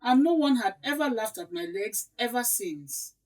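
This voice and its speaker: A woman's voice: on the high side, lively intonation, moderate pace, a little mellow, distant mic, lightly acted. A woman with a Nigerian accent playing a blunt, self-justifying middle-aged stepmother in an audio drama, lecturing indignantly.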